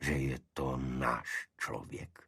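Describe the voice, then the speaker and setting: A man voicing a guarded, secretive senior government official in an audiobook, explaining things formally and evasively in a husky voice. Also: rumbling low, level tone, bright, forceful tone, no room sound, studio quality, pitched below the performer's own voice, heavily acted. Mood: guarded recollection.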